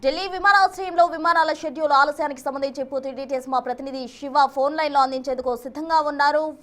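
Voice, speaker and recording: A woman's voice: high-pitched, fairly even intonation, fast, a bit bright. A woman presenting breaking news as an anchor, with music behind her. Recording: music bed under the voice.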